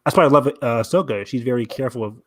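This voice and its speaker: Male podcast panelist, medium pitch, casual fan chat, close dry recording. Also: animated, very fast, dry recording.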